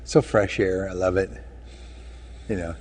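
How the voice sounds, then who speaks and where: A man guesting on a talk show, talking in a laid-back, halting, meandering way. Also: low-pitched, fairly even intonation, a crowd in the background. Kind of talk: casual chat.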